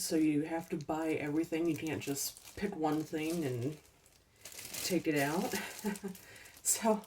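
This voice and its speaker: Slow, mellow-voiced woman chatting a thrift haul. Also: sits low, recorded from a distance, slight background noise. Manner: chatty, unhurried, trailing off with ums and laughs. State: slightly losing her voice. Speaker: collecting channel host. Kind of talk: casual thrift haul chat.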